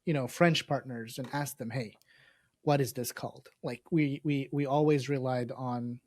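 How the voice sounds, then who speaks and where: A man's voice: mid-range voice, steady tone, moderate pace, darkish tone, no echo. A man from Lebanon whose first language is Arabic, hosting a casual podcast in a hesitant, wry way. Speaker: podcast host.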